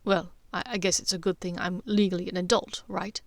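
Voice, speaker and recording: A woman voicing an earnest, stubborn young adult wizard son in an audiobook, quiet, hesitant and reasoning. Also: fairly low pitch, steady tone, a little fast, no echo, lightly acted. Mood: determined.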